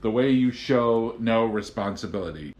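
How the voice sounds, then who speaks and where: A man's voice: on the low side, moderate pace, highs cut off, close to an everyday voice. A man voicing a fatherly, indignant government agent who lectures and scoffs in an audiobook. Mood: exasperated. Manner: forceful, lecturing, exasperated. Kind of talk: audiobook character voice. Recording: roomy, echoing home recording.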